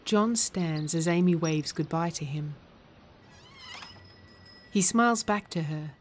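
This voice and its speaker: A woman reading scripted narration and stage directions in a measured, deliberate manner. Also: deep voice, a little hiss or hum.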